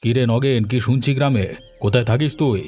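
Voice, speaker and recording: A man's voice: medium pitch, fast, warm tone, faint music behind, lightly acted. A man playing a domineering village headman in a cartoon story, with a commanding, demanding manner. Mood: stern. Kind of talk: Bengali cartoon story acting.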